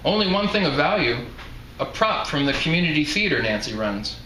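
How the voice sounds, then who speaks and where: A man's voice: on the high side, very animated, a little fast. Man reading as chatty old woman bartender, echoey. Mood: folksy, confiding. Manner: folksy, chatty. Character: chatty, blunt elderly woman bartender, retired. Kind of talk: novel reading aloud. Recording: echoey room, faint background noise.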